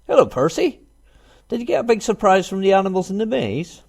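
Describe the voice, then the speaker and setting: A man's voice: high voice, very animated, brisk, gravelly, a little mellow, voice pushed up, exaggerated voice. A man voicing a timid, friendly little hedgehog from a children's storybook, innocent in manner. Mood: curious.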